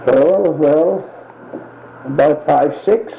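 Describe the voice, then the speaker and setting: An older man being interviewed for an oral history, giving short, plain answers dotted with quick yeahs. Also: on the high side, gravelly, roomy sound, highs cut off, slight background noise.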